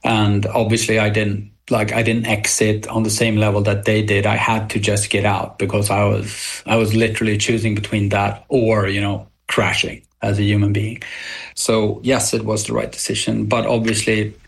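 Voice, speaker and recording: A middle-aged man from Sweden with a Swedish accent, speaking English as a second language and giving measured, candid interview answers. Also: on the low side, monotone, creaky, slightly rough. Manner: measured, candid, occasional hesitant restarts. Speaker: guest entrepreneur.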